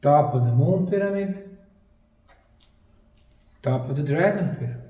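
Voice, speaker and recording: A man giving a lecture-style presentation, speaking deliberately in short, emphatic phrases with pauses. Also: on the high side, expressive, dull audio. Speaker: presenter, anthropologist. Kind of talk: slide lecture presentation on sacred geometry. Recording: distant mic in an echoey room.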